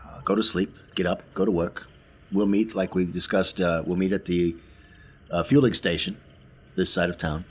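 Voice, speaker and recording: Very deep-voiced man, halting tabletop player. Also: fairly even intonation, husky. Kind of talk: casual in-character planning at a tabletop game.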